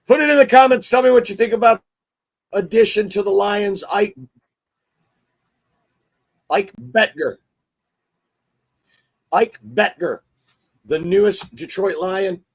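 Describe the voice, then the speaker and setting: A hoarse man hosting a casual sports talk show, chatty and excitable. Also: high voice, slow pace, long pauses. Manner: chatty, excitable, restarts often. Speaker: show host.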